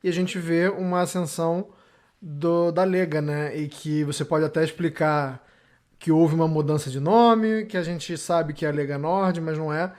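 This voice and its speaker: A man's voice: a little high, fairly even intonation, normal speed, darkish tone. A man hosting a podcast, asking other panelists long, rambling questions filled with tag words. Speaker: podcast host. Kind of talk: casual panel discussion.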